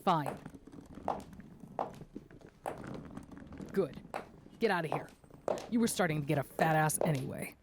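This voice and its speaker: Woman doing a bitter jilted young fiancé in an audio drama, really low voice, cutting and spiteful. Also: expressive, fairly quick, background noise.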